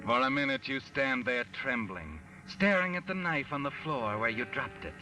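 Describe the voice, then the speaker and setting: A man's voice: medium pitch, animated, natural voice. Distant old radio recording w music, guy playing ominous 40s mystery narrator, knowing n taunting, voice swoops. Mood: ominous, insinuating. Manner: ominous, deliberate, insinuating. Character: sly, knowing omniscient male narrator taunting the protagonist. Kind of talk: 1940s radio mystery narration in second person.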